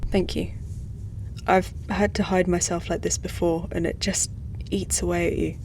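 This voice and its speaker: Earnest low-voiced woman acting a space traveller. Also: flat delivery, normal speed, darkish tone, slight background noise, lightly acted. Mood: sad, confiding. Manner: earnest, confiding. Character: troubled, grieving human woman, a displaced space traveller. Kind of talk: science-fiction audio drama acting.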